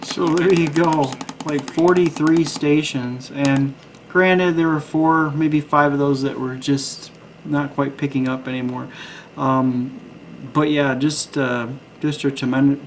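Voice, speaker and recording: A man with a middle-pitched voice reviews a gadget off the cuff. He talks in a rambling, hesitant way, thinking out loud. The recording has some background noise. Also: fairly even intonation, a little slow, rough recording. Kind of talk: casual unscripted product review.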